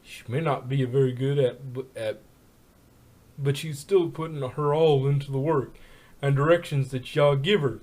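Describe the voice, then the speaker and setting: Calm big country stallion played by a man, few plain words, protective older brother in a fan story. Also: mid-range voice, normal speed, close to an everyday voice. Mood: firm. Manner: laconic, plain-spoken country phrasing.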